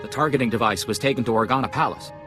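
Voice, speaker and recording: An actor playing a grave, precise scientist doctor in a video game, giving serious, urgent instructions. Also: male voice, mid-range voice, racing, over music, natural voice. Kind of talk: video game cutscene acting.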